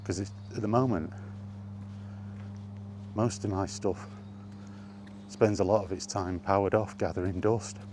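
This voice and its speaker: A man's voice: fairly low pitch, fairly even intonation, unhurried, long pauses, background noise. A man talking off the cuff in an exasperated gaming rant, emphatic and full of rhetorical questions. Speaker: solo video game vlogger.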